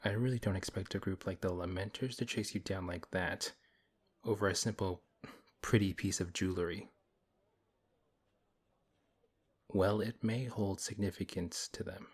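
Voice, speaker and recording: Man acting as a deep, husky, stern paladin. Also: fairly even intonation. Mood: cautious, reassuring. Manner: stern, measured, dryly skeptical. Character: big strong male paladin, principled, wary, reluctantly helpful. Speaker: audio roleplay performer. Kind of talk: ASMR audio roleplay acting.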